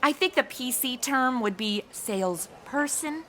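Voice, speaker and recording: A woman's voice: high voice, expressive, a little slow, a bit bright, slight background noise. A woman voicing a sassy, chatty modern young American woman in a comedy audio drama, with sarcastic, exaggerated reactions.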